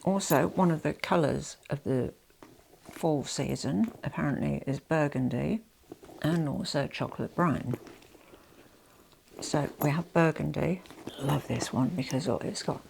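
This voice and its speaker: A woman's voice: low-pitched, a little slow, gravelly, pauses often, slight background noise, low-quality recording. A woman chatting through a handbag collection vlog while nursing a head cold, sounding nasal and rambling with lots of asides. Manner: rambling, frequent "you know" asides, drops to soft near-whispers. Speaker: vlogger showing her handbag collection.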